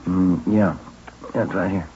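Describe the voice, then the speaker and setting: Low, mellow, brisk police detective. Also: male voice, steady tone, soft background music. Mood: courteous. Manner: polite, businesslike. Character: brisk, courteous male police detective partner. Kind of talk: 1950s radio police drama acting. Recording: dull, hissy old 1950s radio recording.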